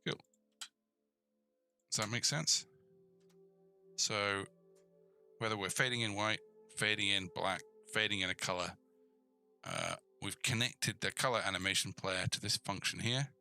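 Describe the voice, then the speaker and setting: A man with a fairly low, strikingly bright and forceful voice talks through a programming tutorial. He thinks aloud in an informal way, addressing the viewer directly and often checking that things make sense. Music plays behind him. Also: very animated, a little slow, creaky, long pauses. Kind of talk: casual screencast coding tutorial, thinking aloud.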